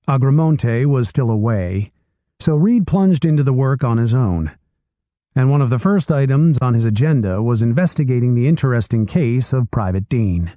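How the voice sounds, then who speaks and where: A man reading a nonfiction book aloud in calm, measured storytelling narration. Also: mid-range voice, dry recording, clean studio sound.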